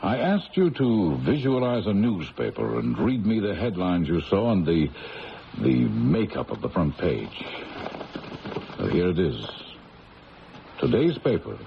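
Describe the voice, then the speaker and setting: An actor playing a grave, reassuring psychiatrist with measured, formal delivery in a radio mystery drama. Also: male voice, low-pitched, very animated, gravelly, heavily acted. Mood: grave, emphatic. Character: grave, reassuring male psychiatrist, gently authoritative. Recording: muffled, hissy old radio broadcast.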